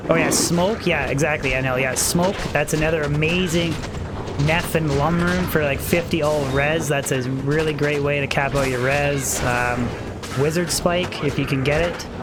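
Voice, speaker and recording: A man's voice: a little high, normal speed, over loud music. A man livestreaming a game with casual, rambling, slangy commentary, self-deprecating, his voice a little tired. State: voice tiring. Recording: clearly noisy background.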